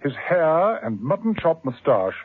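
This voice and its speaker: A man narrating a spy radio drama with lively, dramatic storytelling emphasis. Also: fairly high pitch, measured pace, very noisy. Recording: tinny old radio broadcast with music.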